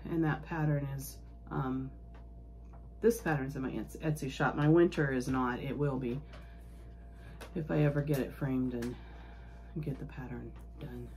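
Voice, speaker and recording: A woman hosting a casual needlework show-and-tell, sniffling with a cold and talking in a halting, rambling, homespun way. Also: a bass voice, fairly even intonation, a little mellow. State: sick and sniffling. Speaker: needlework vlog host. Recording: clearly noisy home recording.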